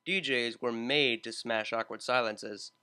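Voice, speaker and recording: A narrator reading a fan-fiction story aloud in a steady storytelling manner. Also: mid-range voice, unhurried, clear, resonant tone. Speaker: audiobook narrator.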